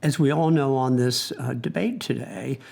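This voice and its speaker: A man's voice: medium pitch, moderate pace, gravelly, darkish tone. A man from the United States arguing a position in a formal debate, emphatic and fond of rhetorical lists.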